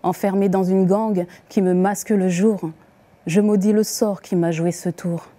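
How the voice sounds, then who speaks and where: A woman reciting poems as the poet herself, with deliberate, expressive phrasing and pauses. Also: medium pitch. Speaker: poet reading her own poems. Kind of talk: poetry recitation.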